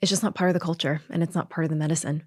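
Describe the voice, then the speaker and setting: A woman's voice: low voice, steady tone, rapid-fire, dry recording, clean studio sound. A woman from Hong Kong answering questions conversationally as a podcast guest and doctor.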